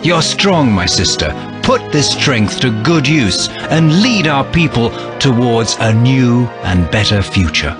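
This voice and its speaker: A man's voice: mid-range voice, sing-song, slow pace. A man playing a noble, resolute soldier and secret agent in a dramatic video game cutscene, with music behind him. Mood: earnest, resolute.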